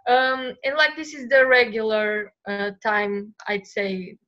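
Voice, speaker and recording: Young woman from bulgaria, high bright voice, friendly, hesitant, muffled call audio. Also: level tone, slow pace. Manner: friendly, searching for words with many fillers. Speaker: illustrator guest. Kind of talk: casual interview answers.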